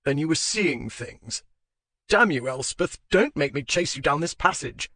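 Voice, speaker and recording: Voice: medium pitch, expressive, a little slow, bright, forceful tone, no echo. Reader doing a coarse drunk husband, blustering, dry close recording. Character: drunken angry young husband.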